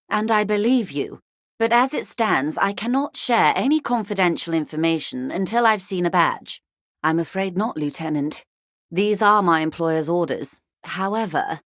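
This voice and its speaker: A woman playing a composed, tactful corporate negotiator in a video game, speaking in a formal, measured and diplomatic way, firm but courteous. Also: fairly low pitch, a bit bright, dry recording, clean studio sound. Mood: concerned, courteous. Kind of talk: video game character dialogue.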